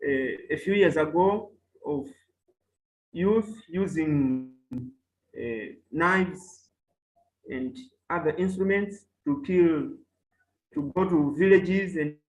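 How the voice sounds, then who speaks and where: High-voiced man, echoey room. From Mozambique. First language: Chewa. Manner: measured and halting, with many fillers and restarts. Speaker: professor and panelist. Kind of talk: online academic panel talk. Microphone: video call.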